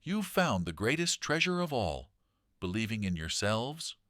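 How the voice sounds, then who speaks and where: Wise winged mentor for a storybook, played by a man: deep, soft, kindly, dry sound. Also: big pitch swings, measured pace, no echo. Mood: softly joyful. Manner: soft, approving. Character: wise, kindly winged male guide, softly joyful.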